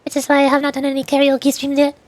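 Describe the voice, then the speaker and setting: High-voiced rambling woman streamer. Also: monotone, fast, darkish tone, no room sound. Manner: rambling, self-correcting, repeats phrases. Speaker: streamer and amateur voice actor. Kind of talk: casual livestream chat.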